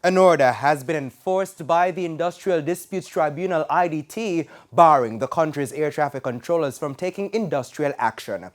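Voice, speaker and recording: A man with a Jamaican accent reading scripted business news in a formal, measured broadcast delivery. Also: fairly high pitch. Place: TV news studio.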